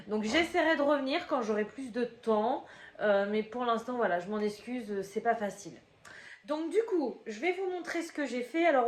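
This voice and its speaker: A woman's voice: a little high, roomy sound. A woman with a slight cold, chatting casually to her camera at a table at home, rambling with many fillers and restarts. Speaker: crafting vlogger. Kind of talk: casual chatty video monologue. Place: room at home, table in front. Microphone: camera at table, head out of frame.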